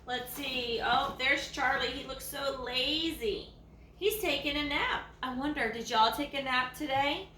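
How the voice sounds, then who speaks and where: A woman's voice: high voice, measured pace, pushed and bright, far from the mic. A woman with a Southern US accent reading a children's story like a teacher, explaining and asking the young listeners questions. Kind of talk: children's story read-aloud. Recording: echoey room, faint background noise.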